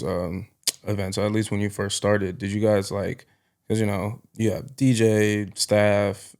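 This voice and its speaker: A man hosting a casual interview podcast, asking relaxed, rambling questions with many fillers. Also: on the low side, vocal fry. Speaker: podcast host.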